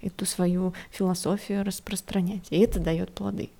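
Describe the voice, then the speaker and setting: Laughing, lively woman studio head. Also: lowish voice, normal speed, gravelly. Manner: lively, laughs often, talks in loose restarts. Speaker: head of a visual effects studio. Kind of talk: casual interview.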